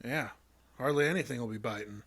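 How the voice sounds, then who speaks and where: A man playing a gruff, irritable, drunken fishing guide in a horror audio drama, blunt and folksy. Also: medium pitch, brisk, a bit bright, natural voice. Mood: grumpy.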